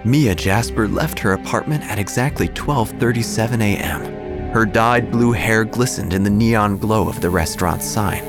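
A man with a lowish voice narrates a first-person horror tale in a deadpan, dryly absurd way, and his pitch rises and dips expressively. Music plays underneath him.

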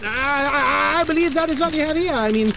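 A man playing a nerdy, excitable scientist who stammers pedantically through jokey explanations in a comedic audio drama. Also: extremely high pitch, fairly quick, gravelly, background noise. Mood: pedantic, jokey.

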